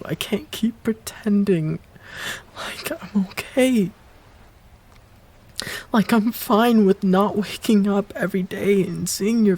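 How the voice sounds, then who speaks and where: A man acting a heartbroken ex-boyfriend in an intimate romantic audio roleplay, pleading in a halting, breathy, emotional way. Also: sits high, a little mellow, exaggerated voice.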